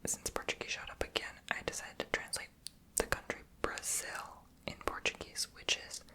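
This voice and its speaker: A woman whispering in ASMR style into a close mic. She repeats each foreign word softly, over and over. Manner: soft whisper, slow repetitions. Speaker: ASMR video host.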